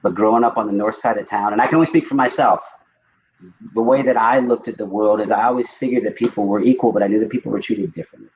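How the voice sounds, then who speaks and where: A man's voice: lowish voice, steady tone, fast talker, a little mellow. A man speaking as a panelist in a reflective, casual conversation, candid and self-deprecating, repeating words for emphasis. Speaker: discussion panelist. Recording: dull, clearly noisy recording.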